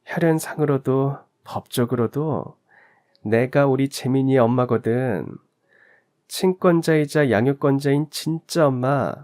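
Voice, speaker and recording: A man's voice: medium pitch, a little slow, a little mellow, lightly acted. A man voicing a scornful, scheming birth mother who taunts and mocks in a storybook reading. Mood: taunting. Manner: mocking, smug, taunting.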